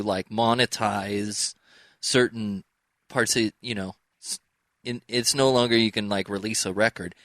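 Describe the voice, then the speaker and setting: A man's voice: on the low side, fairly bright tone, no echo. A man hosting a show, putting questions in a casual, conversational interview style. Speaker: show host.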